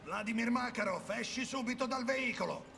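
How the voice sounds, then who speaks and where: An actor playing a gruff middle-aged special forces captain, curt and authoritative, in a video game. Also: male voice, extremely high pitch, normal speed, a little hiss or hum, full character voice. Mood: urgent, commanding. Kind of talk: cinematic video game dialogue. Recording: loud music behind.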